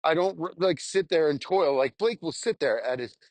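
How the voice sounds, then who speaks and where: A young adult man, a stand-up comedian, rambling casually and candidly in a halting, self-reflective way with some casual swearing. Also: fairly high pitch, expressive, darkish tone, no room sound. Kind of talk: casual rambling monologue, audio journal.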